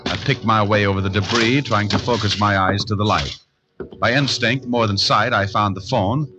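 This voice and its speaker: Man playing wry, low-voiced radio private eye. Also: normal speed, highs cut off, close to an everyday voice. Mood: wry narration. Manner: wry, sarcastic, glib. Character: wisecracking, unflappable male private detective narrating his case. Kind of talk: 1940s radio detective drama acting and narration. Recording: old 1940s radio recording with hiss.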